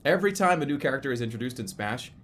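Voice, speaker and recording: A man's voice: medium pitch, expressive, very fast, a bit bright. A man in his thirties chatting casually as a live streamer, rambling and often restarting or repeating his phrases. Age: 30s. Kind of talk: casual livestream chat commentary.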